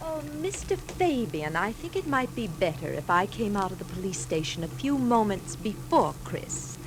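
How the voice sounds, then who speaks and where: A woman's voice: medium pitch, sing-song, moderate pace. An actress playing a scheming, ruthless woman who coyly feigns innocence, in a theatrical 1950s radio drama style. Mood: feigned innocence. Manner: theatrical, coy, calculating. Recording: noisy 1950s radio broadcast recording.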